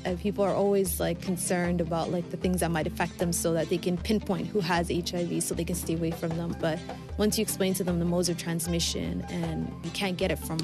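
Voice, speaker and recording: Young woman, outreach worker, low-pitched, thoughtful with fillers, background music. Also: little pitch movement, a little fast. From Somalia. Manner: soft-spoken, thoughtful, with frequent fillers. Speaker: HIV outreach coordinator. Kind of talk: documentary interview and voice-over. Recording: voice-over with music underneath.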